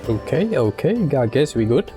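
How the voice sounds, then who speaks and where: A woman's voice: extremely low pitch, big pitch swings, normal speed, music in the background. A woman casually reacting to a game as a streamer, muttering laid-back asides. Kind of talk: casual gameplay reaction commentary.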